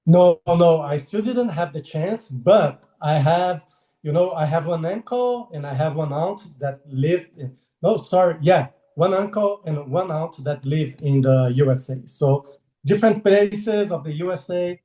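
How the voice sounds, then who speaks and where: Brazilian guy in his 40s on a video call, mellow voice, friendly, kinda hesitant, laughs a lot. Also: fairly high pitch, animated, measured pace, roomy sound. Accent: Brazilian Portuguese. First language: Portuguese. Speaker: illustrator guest. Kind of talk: casual interview over video call.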